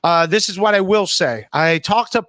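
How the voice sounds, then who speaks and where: High-voiced man hosting a podcast. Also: expressive, normal speed, fairly bright tone, no echo, studio quality. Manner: self-deprecating, reads chat messages aloud. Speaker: podcast host. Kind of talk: casual chat. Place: home room, indoors. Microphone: studio mic with headphones, video call.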